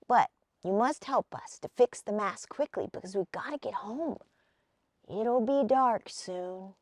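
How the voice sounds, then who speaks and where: A woman's voice: medium pitch, animated, unhurried, no echo, studio quality. A woman voicing small, plucky acorn and hazelnut children in a storybook, earnest and a little plaintive. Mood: forgiving. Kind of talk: storybook read-aloud.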